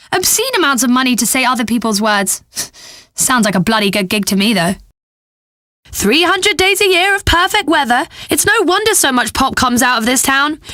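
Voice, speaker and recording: A woman's voice: high voice, sharp tone, no echo, clean studio sound, full character voice. A woman playing a flippant, bubbly young British pop radio DJ in a video game, with breezy, sardonic patter. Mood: playfully preachy.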